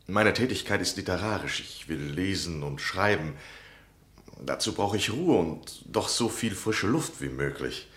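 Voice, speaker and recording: A man's voice: deep voice, fairly bright tone. A man acting in a 1960s German radio play as an eager, scheming American man of letters, courteous and insistent. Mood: earnest, persuasive. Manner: ingratiating, polite, effusive.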